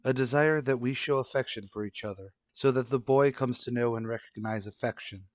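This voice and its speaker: Cold, condescending husband for a daytime radio soap, male actor. Formal, measured diction, medium pitch, dry sound. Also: no echo, close to an everyday voice. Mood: sardonic, reproachful. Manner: formal, measured, pointed rhetorical questions. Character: cold, accusing husband and father, sardonic and condescending.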